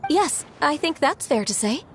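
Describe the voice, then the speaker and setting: A woman voicing a gentle, dutiful young female immortal in a video game, speaking earnestly in a polite, measured, slightly hesitant way. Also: high voice, expressive, very noisy. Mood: polite, reasoning. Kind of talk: video game character acting. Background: music.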